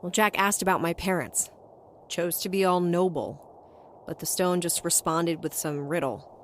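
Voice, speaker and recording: A woman's voice: lowish voice, fairly even intonation, moderate pace, a little hiss or hum, natural voice. An actress playing a tough, sardonic young woman who talks bluntly and narrates her own story in an audio drama. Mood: troubled. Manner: wry, blunt, foul-mouthed. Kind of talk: audio drama dialogue and first-person narration. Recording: music bed under the voice.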